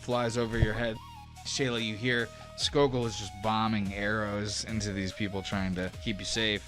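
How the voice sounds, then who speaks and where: A man's voice: lowish voice, little pitch movement, moderate pace, fairly bright tone. Male game master narrating over music. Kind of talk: narrating combat in a tabletop game. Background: background music.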